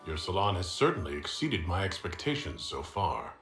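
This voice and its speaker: In an echoey room over faint music, the composed hero of a fantasy game. His voice is on the low side, and he speaks in a formal, polite, direct manner. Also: huge pitch swings, moderate pace, fairly bright tone, exaggerated voice. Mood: polite, curious. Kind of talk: video game voice acting.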